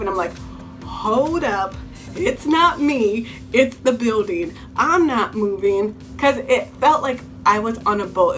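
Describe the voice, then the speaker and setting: Chatty young woman over background music. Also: mid-range voice. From California, Bay Area. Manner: chatty, repeats herself, animated retelling. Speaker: beauty vlogger. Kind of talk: story time vlog. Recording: music playing underneath.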